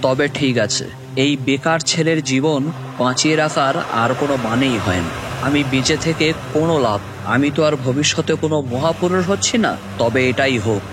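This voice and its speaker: A man's voice: mid-range voice, normal speed, noisy background, close to an everyday voice. A man playing a dejected, jobless young son who narrates his own cartoon story. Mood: despairing. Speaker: narrator and lead character. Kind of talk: first-person dramatic story narration. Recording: music bed under voice.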